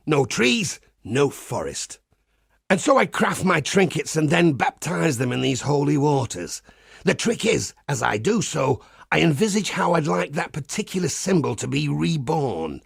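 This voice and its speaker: A man voicing a pious, wry crusader priest in a video game, with a husky, gravelly voice and a measured, folksy preacher's manner. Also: a little high, no room sound, clean studio sound, exaggerated voice. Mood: reflective, devout. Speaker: game character. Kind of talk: scripted game character monologue.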